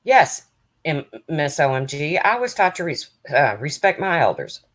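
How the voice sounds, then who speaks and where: A woman with a deep, raspy voice chatting casually on a live stream, answering viewers' comments by name as a true crime streamer. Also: very animated, a little slow.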